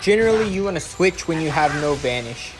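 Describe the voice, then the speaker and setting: Casting: gameplay guide narrator, medium pitch, instructional and explanatory delivery, voice-over laid over clearly audible music. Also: animated, a little fast, poor audio.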